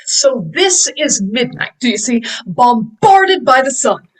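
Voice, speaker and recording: A woman's voice: sits high, sing-song, takes it slowly, husky, exaggerated voice, low-quality recording. A woman playing an enthusiastic, pompous male professor who lectures emphatically in a sci-fi table read. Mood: enthusiastic, lecturing.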